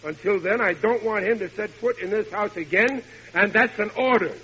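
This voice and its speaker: A man's voice: sits high, normal speed, a bit bright, breathy edge, heavily acted. An actor playing a blustering, dim-witted working-class family father in a 1940s radio sitcom, indignant and folksy with ungrammatical speech. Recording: hissy vintage radio broadcast, dull.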